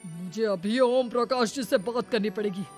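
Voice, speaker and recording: A woman's voice: fairly high pitch, moderate pace, darkish tone, faint music behind, lightly acted. A woman voicing a whiny, aggrieved young husband in a cartoon story, with a melodramatic, complaining manner. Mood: shocked, wailing. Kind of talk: cartoon story character acting.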